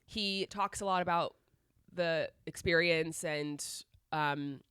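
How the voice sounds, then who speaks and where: A woman's voice: sits low, takes it slowly, a bit bright, clean tone, dry recording, studio quality. A woman with a cold co-hosting a podcast, slightly nasal and congested, chatting casually with lots of restarts. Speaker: podcast co-host. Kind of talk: casual chat.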